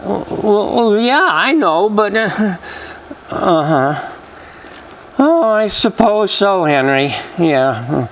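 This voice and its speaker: A man's voice: high voice, big pitch swings, slow, fairly bright tone, heavily acted. A man playing a nervous, evasive teenage boy who stammers sheepishly, in 1940s radio comedy style. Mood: flustered. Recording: live acting with clear background noise.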